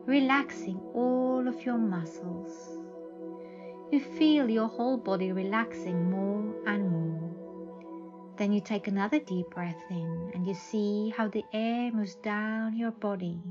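A woman guides a meditation very slowly, with soothing, measured instructions. The recording is a little dull and lo-fi, with clear music playing behind her voice. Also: medium pitch. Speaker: psychic advisor, meditation guide. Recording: dull lo-fi audio under music. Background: prominent meditation music.

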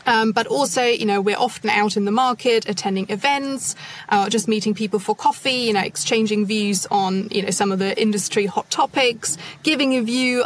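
A woman consultant speaking as a podcast guest, measured and thoughtful, with frequent soft fillers. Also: mid-range voice, a little hiss or hum. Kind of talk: podcast interview.

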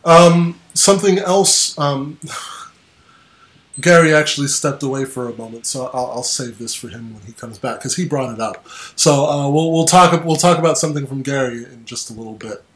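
A man in his twenties hosting a casual gaming podcast, chatty and quick to laugh, trailing off with ums. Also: medium pitch. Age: 20s. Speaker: podcast host.

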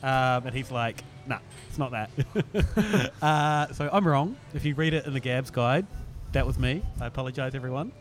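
A man's voice: mid-range voice, expressive, moderate pace, few pauses. A man with a New Zealand accent chatting informally as a podcast host, self-deprecating and happy to swear. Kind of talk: casual podcast chat.

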